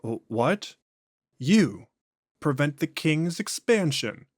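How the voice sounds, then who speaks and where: Man playing an eerie possessing entity, dragging slow speech, dry close sound, detached. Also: fairly high pitch, very animated, no room sound, exaggerated voice. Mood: imperious.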